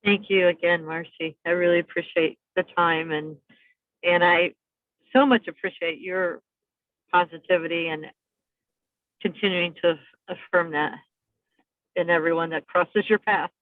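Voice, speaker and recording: A woman's voice: fairly low pitch, steady tone, no room sound. A woman guest telling her life story in an interview, hesitant and full of fillers. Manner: halting, with many fillers and restarts. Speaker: podcast guest. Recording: tinny phone-like remote line.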